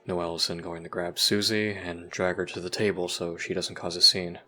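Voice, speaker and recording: A man's voice: deep voice, flat delivery, normal speed, sharp tone. A man acting in an audio roleplay as a jaded, sardonic human youth trapped in a time loop, talking conspiratorially and swearing casually. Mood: wry, confident.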